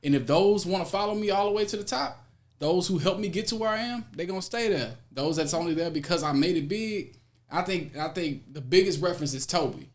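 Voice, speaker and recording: A young American man chatting casually as a guest, slangy, emphatic and expressive in how he talks. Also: high voice, fairly quick. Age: young adult. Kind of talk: casual conversation.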